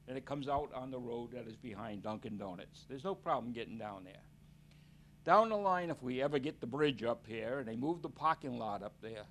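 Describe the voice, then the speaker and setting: A man's voice: mid-range voice, a little hiss or hum. A man from town speaking during public comment at a meeting, blunt and plainspoken.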